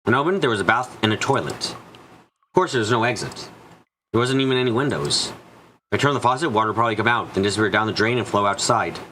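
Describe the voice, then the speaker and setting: A man's voice: on the low side, lively intonation, fast, sharp tone, a little hiss or hum. A man voicing an anxious, frightened narrator trapped and desperate, in a first-person visual novel fan dub. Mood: uneasy, brooding. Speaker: voice actor narrating.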